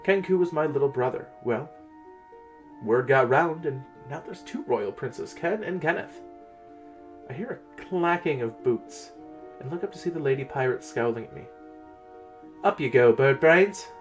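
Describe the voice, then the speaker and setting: A man's voice: mid-range voice, animated, moderate pace, faint music behind. A man narrating a first-person fantasy story in a dramatic reading style.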